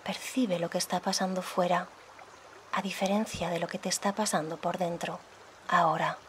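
A woman leading a guided meditation as a yoga guide, speaking softly and soothingly, unhurried, with pauses between phrases. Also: lowish voice, fairly even intonation, slight background noise. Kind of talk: guided meditation, slow calm instruction.

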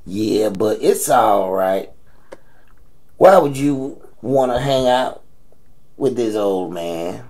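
A man's voice: fairly low pitch, lively intonation, slow, pauses often, darkish tone. A man playing a blunt, teasing old American grandfather in an audio drama, salty and slangy as he scolds. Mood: gruff teasing.

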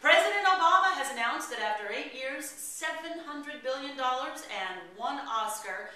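A middle-aged woman reading satirical news headlines aloud from a script, with deliberate, expressive delivery. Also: sits high. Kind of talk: mock newscast read aloud from script at rehearsal. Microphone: far camera. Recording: echoey room, distant camera mic, faint noise. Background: small group of colleagues in the room.

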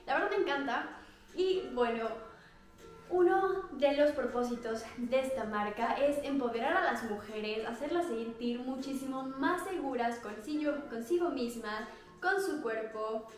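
Young mexican woman, bubbly and chatty, bit high voice, lapel mic in a super echoey little room. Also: unhurried. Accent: Mexican Spanish. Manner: bubbly, chatty, gestures a lot, laughs often. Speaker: activewear review vlogger. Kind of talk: casual product review vlog. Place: small indoor room at home. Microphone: clip-on lapel mic.

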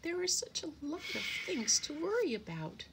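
High, fretful little father sparrow played by a woman; chirpy, jumpy storybook bird with swooping delivery. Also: sing-song, normal speed, vocal fry, noisy background, exaggerated voice. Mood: sad, worried. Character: very worried young father sparrow, anxious and chirpy. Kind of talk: children's storybook character voice.

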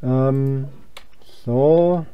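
A man's voice: medium pitch, fairly even intonation, drawn-out words, smooth, dry recording, dull audio. A young man giving casual gameplay commentary, rambling and thinking aloud as he plays. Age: young adult. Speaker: let's player.

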